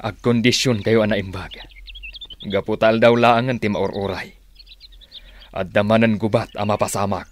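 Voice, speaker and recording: Resolute Filipino fighters' chief male actor: fairly deep, calm command, radio drama with faint noise and birds. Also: close to an everyday voice. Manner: measured, authoritative.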